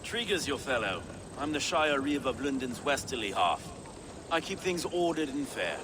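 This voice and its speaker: A man's voice: mid-range voice, animated, normal speed, a bit bright, slight background noise, natural voice, poor audio. A man playing an affable, dutiful medieval London reeve in a video game. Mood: affable, wry. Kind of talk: video game cutscene acting.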